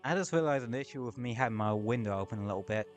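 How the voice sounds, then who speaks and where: A man's voice: mid-range voice, expressive, racing, soft background music. A man streaming a game, talking casually in rambling, sweary asides at home. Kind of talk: casual gameplay commentary. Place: home room with window open.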